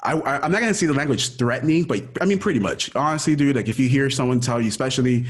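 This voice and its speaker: A man's voice: medium pitch, expressive, quick pace. A man in his thirties, born in Ecuador and raised in Miami, talking through a personal story as an interview guest, casual and full of "dude" and "like.". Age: 30s. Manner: casual, says dude and like often.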